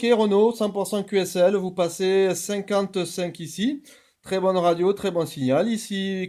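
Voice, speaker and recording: A man works as an amateur radio operator. His voice sits a little high but has a mellow, rounded tone. He talks courteously, moving between chat and set radio procedure phrases. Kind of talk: radio contact chat with procedural call-outs. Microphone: radio's original microphone.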